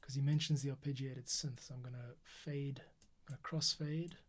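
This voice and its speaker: A man's voice: medium pitch, fairly even intonation, normal speed. A man thinking aloud as he edits a podcast in a tutorial, muttering to himself and sometimes half-whispering. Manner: muttering to himself, often half-whispered, humming snatches of sound. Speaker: podcast editor giving a behind-the-scenes tutorial. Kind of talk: thinking aloud while editing audio.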